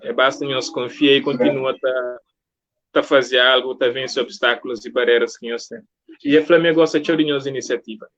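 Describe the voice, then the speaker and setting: A man's voice: medium pitch, steady tone, a little fast, a bit bright. A man hosts a casual live interview, reading out viewer comments in an encouraging, appreciative way. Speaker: live-stream interview host. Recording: muffled lo-fi online stream.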